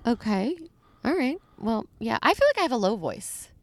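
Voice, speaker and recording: A woman's voice: a little high, very animated, moderate pace, no echo. Co-host, female, wry and playful; dry close sound. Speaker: podcast co-host. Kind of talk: casual chat. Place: parked car in a parking garage.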